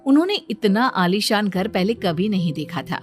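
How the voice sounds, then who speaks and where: Lady narrator reading a cartoon story, mid pitch, bit of music under. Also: expressive, normal speed.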